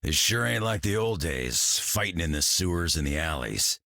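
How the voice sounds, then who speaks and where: Gruff old fighter for a game, really low growly voice, sharp edge, grumbles in slang. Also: male voice, expressive, moderate pace, no echo, clean studio sound, full character voice. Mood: weary, gruff. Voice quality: growling, gravelly. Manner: grumbling, curt, folksy slang. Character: gruff, weary old mutant brawler. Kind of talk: video game character lines.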